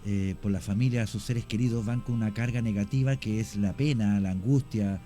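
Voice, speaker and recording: A man's voice: fairly low pitch, fairly even intonation, brisk, mellow, soft background music. A man with a Chilean accent, a radio guest giving long explanations full of fillers and restarts. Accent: Chilean Spanish.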